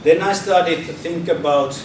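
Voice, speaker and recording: A man's voice: fairly high pitch, fairly even intonation, unhurried. Hesitant Finnish man in an echoey hall. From Finland. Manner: hesitant, repeats words and restarts often. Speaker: speaker at a public debate. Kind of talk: informal public talk. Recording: echoey hall from far off, noisy.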